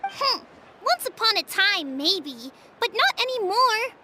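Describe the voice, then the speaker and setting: Very high-pitched stubborn child. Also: female voice, very animated, slow pace, very noisy, heavily acted. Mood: hurt, stubborn. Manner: blunt, earnest. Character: stubborn, hurt, outspoken young child, quick to argue. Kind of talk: anime-style video game dialogue.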